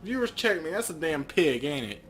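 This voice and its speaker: A man's voice: fairly high pitch, big pitch swings, moderate pace, fairly bright tone, a little hiss or hum. A man co-hosting a game playthrough, mixing joking casual banter with reading narration aloud. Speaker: let's play co-host.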